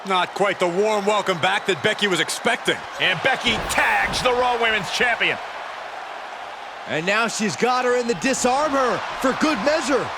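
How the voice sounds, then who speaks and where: A man playing an excitable TV wrestling announcer in a video game, calling the action as sports commentary. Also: sits high, normal speed. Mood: excited. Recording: loud arena crowd and noise behind. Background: roaring arena crowd.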